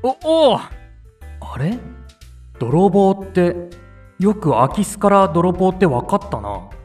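A man's voice: high voice, very animated, slow, darkish tone, heavily acted. A man playing an easygoing, slightly forgetful father in an amateur family radio drama, speaking casually and expressively. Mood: casual concern. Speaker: actor in a family audio drama. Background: background music.

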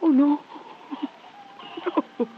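An actress playing an emotional, defensive ranch wife with halting, plain rural speech in a 1950s radio drama. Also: female voice, a little hiss or hum. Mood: shocked, grieving. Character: distraught, defensive ranch wife, newly widowed. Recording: muffled old radio recording.

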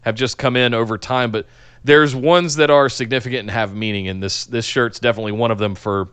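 A man's voice: mid-range voice, steady tone, fairly quick, a bit bright, clean tone, no room sound. A man co-hosting a casual wrestling podcast, rambling and cutting himself off, with a big voice, talking close into a microphone. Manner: rambling, self-interrupting, swears casually. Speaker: podcast co-host. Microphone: podcast mic close to face.

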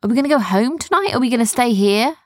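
A woman voicing earnest, excitable young children in an adventure story, with an eager, questioning manner. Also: fairly high pitch, rapid-fire, no room sound, clean studio sound, pitched above the performer's own voice. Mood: puzzled.

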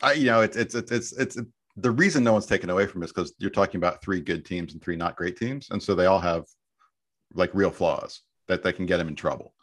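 A man's voice: sits low, a little fast, a bit bright. A man as a podcast guest, talking earnestly and restarting his sentences. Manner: earnest, repeats words when starting a point. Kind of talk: casual podcast chat.

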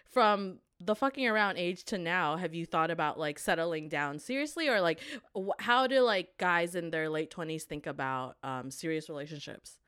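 A woman's voice: lowish voice, normal speed, few pauses, fairly bright tone, clear, resonant tone, no echo, studio quality. A woman hosting a casual podcast interview, teasing her guest, laughing often and saying "like" a lot. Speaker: podcast host.

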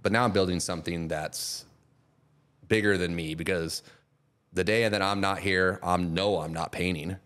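A man in his forties, a podcast guest, talking casually and rambling, with many restarts and trailing off quietly. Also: sits low, fairly even intonation, moderate pace. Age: 40s. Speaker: guest, custom painter and product seller.

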